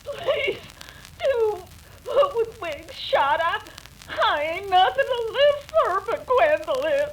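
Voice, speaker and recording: A woman's voice: extremely high pitch, sing-song, takes it slowly, exaggerated voice, poor audio. A woman playing a fretful, pleading older American rancher's wife in old-time radio melodrama. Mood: tearful, pleading.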